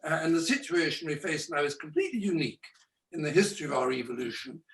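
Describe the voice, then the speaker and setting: A man on a webinar panel, speaking in a measured, thoughtful way with frequent restarts, heard over a video call. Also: a little high, animated, vocal fry, fairly bright tone, recorded from a distance. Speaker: conference panelist. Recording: echoey room, away from the mic.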